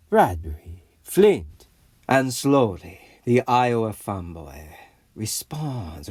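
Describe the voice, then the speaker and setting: An actor playing a grandiose, idealistic rebel leader who narrates an audio comic drama in a theatrical, deliberate way. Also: male voice, mid-range voice, a little mellow, slightly rough, dry recording, full character voice.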